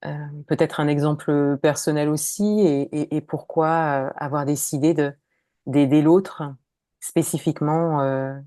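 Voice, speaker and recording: A woman podcast host who asks questions softly and hesitantly, with many fillers. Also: sits low, fairly even intonation, a little slow, no echo, studio quality. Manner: soft and hesitant, with many fillers while asking. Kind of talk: interviewer, casual conversation.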